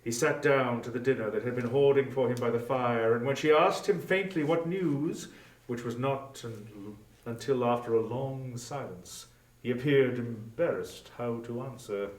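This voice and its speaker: A man's voice: mid-range voice, moderate pace. Solemn male story narrator in echoey room. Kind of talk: dramatic scripted story narration. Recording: echoey room, distant from mic.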